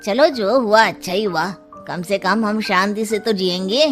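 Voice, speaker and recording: A woman's voice: medium pitch, measured pace, over music, close to an everyday voice. A woman storyteller playing a selfish, impatient grown son with a grumbling, offhand manner. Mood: indifferent. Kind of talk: storybook character acting.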